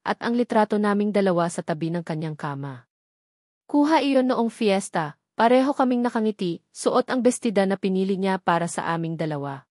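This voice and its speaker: A woman's voice: medium pitch, a little mellow, dry recording, clean studio sound. A woman reading a scripted first-person dramatic story as narrator.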